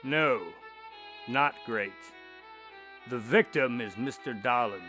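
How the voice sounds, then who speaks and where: An actor playing an earnest doctor and loyal detective's sidekick in an audio drama, speaking in a measured, articulate, conversational way. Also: male voice, medium pitch, loud music behind the voice, close to an everyday voice. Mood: alarmed, grave. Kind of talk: audio drama dialogue and storytelling.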